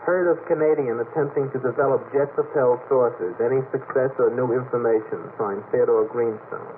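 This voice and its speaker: A man's voice: medium pitch, fairly quick. Man reading telegrams on old radio. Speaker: radio show regular reading listener telegrams. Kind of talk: reading telegrams aloud on air. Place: radio studio. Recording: noisy, phone-like old 1950s radio recording.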